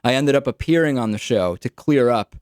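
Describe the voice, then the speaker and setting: A man's voice: medium pitch, brisk, a little mellow, no room sound, studio quality. A young man hosting a political talk radio show, casual and wry, often repeating his words.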